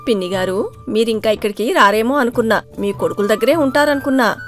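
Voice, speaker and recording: A woman's voice: on the high side, fast talker, faint music behind, lightly acted. A woman voicing a concerned, suspicious younger village neighbour in a storybook tale, speaking respectfully and confidingly. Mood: friendly.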